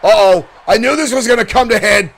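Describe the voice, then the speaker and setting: A man doing excited play-by-play commentary for a digital wrestling show, shouting at big moments and losing his voice. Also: sits high, brisk, vocal fry.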